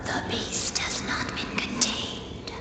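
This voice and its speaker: A woman's voice: whispered, lightly acted. Woman as an imperious ancient deity, cavernously echoing. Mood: ominous warning. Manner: regal, archaic, slow and menacing. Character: imperious, ominous ancient godlike being, distrustful of mortals. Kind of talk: theatrical horror game acting. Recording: cavernous reverb, distant, heavy ambient noise.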